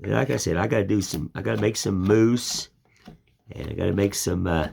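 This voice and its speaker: A man's voice: deep voice, fairly quick, creaky, darkish tone, slightly rough. An older American man with a folksy, plainspoken way of talking, rambling in a casual chat while he shows his woodcarving. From United States. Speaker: woodcarving channel host.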